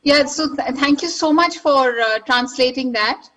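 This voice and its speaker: A woman with a high voice moderates a literary panel. She asks polite, long-winded questions and rewords them several times before she lets go. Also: a little slow, bright, forceful tone.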